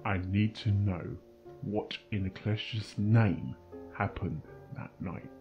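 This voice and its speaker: A low-pitched, stern pony doctor, acted by a man in a dramatic story reading. He speaks with grim, deliberate threat, like an interrogator who will not be crossed. Music plays faintly behind him. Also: highs cut off. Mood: grim, firm. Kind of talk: dramatic fan fiction character reading.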